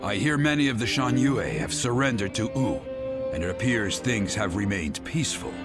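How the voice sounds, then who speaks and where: An actor playing a stern, loyal officer of an ancient Chinese kingdom in a game cutscene, formal and firm. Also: male voice, on the low side, animated, moderate pace. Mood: frank. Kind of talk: video game cutscene acting. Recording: loud orchestral music behind the voice.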